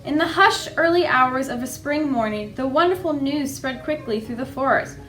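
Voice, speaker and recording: Higher-voiced woman reading storybooks aloud. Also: lively intonation, moderate pace, a bit bright, soft background music, low-quality recording. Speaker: library storyteller. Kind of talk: read-aloud storytime for children.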